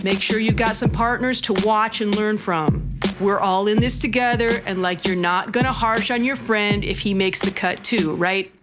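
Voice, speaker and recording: Loud guitar music plays under a woman performing a slangy American skateboarder dude. The delivery is a bossy, hectoring pep talk for an aspiring pro, at an ordinary pitch, in the form of a scripted podcast monologue. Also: moderate pace, hardly any pauses, close to an everyday voice. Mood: chummy advising.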